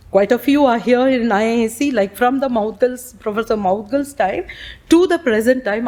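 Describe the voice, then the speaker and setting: Brief: fifties woman, loud and fairly high, speaker at a campus talk, many restarts. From India. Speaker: guest speaker. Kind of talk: reminiscing talk to students.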